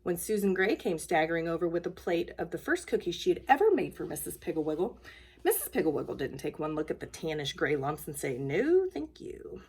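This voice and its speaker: A woman storyteller reading a children's book aloud in an expressive, emphatic way. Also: low-pitched, quick pace, breathy edge.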